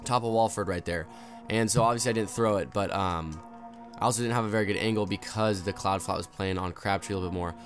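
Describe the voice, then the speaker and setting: A young man with a hoarse voice from illness, hosting a gaming video and explaining plays casually with plenty of ums and uhs. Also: fairly low pitch. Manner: relaxed, explanatory, many fillers. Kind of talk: casual gameplay tutorial commentary.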